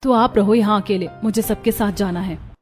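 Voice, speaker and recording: A woman voicing an earnest, warm young daughter-in-law in a cartoon family drama. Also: mid-range voice, fairly even intonation, normal speed, soft background music, natural voice. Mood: upset. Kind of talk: Hindi cartoon dubbing.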